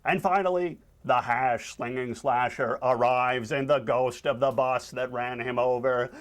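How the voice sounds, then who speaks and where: A man voicing a theatrical, irritable cartoon squid, loud and emphatic in delivery. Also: mid-range voice, fairly even intonation, measured pace, lightly acted. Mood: ominous, dramatic. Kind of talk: acted cartoon storyboard pitch.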